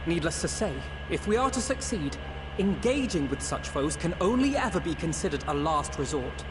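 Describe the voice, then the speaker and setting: A man's voice: a little high, big pitch swings, normal speed, noisy background. An actor playing an earnest, eloquent young male scholar in a fantasy game, speaking formally and articulately. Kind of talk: dramatic fantasy game dialogue.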